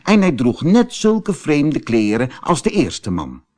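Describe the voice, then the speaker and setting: A man narrates a fairy tale for children as an audiobook. His storytelling is expressive, with lively movement in pitch, and he goes at a slow, unhurried pace.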